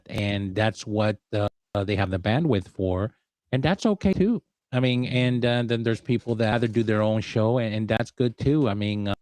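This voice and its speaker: A man chatting casually as a panel guest in a halting way, often repeating his words. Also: lowish voice, a little mellow, no echo. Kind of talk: casual chat.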